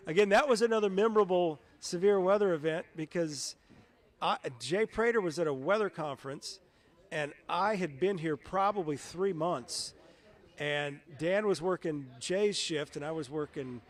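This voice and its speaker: A man's voice: a little high, animated, moderate pace. A middle-aged man, a television meteorologist, chatting in a casual interview and telling stories in a conversational way. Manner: conversational, anecdotal.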